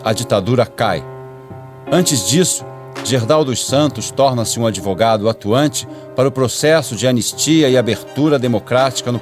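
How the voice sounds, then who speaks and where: Brazilian male narrator over music. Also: mid-range voice. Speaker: radio actor, narrator. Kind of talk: scripted documentary narration. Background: music under the voice.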